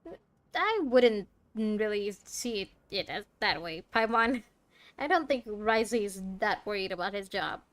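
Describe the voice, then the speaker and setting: A woman's voice: mid-range voice, animated, unhurried. A woman gaming streamer giving casual playthrough commentary, chatting playfully with the game's characters. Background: game music.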